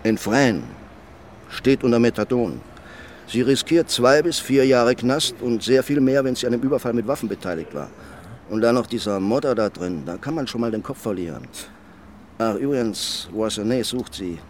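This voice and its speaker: An actor playing a blunt, mocking police detective with a coarse manner in a radio crime drama. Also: male voice, mid-range voice, expressive, moderate pace, a little hiss or hum, close to an everyday voice. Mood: gruffly mocking. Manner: coarse, sardonic.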